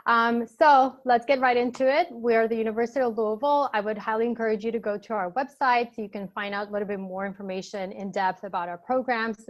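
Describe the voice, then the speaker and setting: Brief: woman, native Albanian speaker, admissions rep, upbeat promotional webinar delivery over a video call. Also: on the high side. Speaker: admissions counselor. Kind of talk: webinar presentation.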